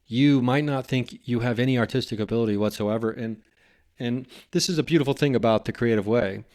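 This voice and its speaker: A man hosting a podcast in a casual, reflective monologue, musing haltingly and stretching out phrases for emphasis. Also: medium pitch, a little mellow. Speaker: podcast host and stand-up comedian.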